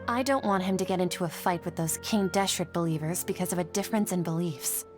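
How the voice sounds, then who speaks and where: A woman's voice: on the low side, quick pace, music in the background, natural voice. A woman playing an earnest, conflicted young female scholar in an anime video game, polite and sincere, hesitant under pressure. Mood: friendly, concerned.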